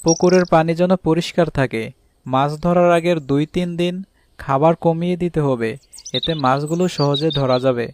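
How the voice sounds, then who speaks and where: A man's voice: fairly high pitch, normal speed, close to an everyday voice. A man voicing a practical, good-humoured village fish farmer and husband in a cartoon fairy tale, explaining things step by step. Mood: encouraging. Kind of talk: animated fairy tale voice acting. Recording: clearly noisy track with birdsong.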